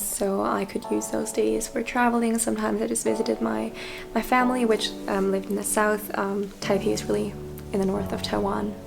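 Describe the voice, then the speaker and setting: A woman's voice: mid-range voice, gravelly. A young woman talking candidly in a casual vlog, reflective and self-deprecating, often laughing at herself. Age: young adult. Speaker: vlogger.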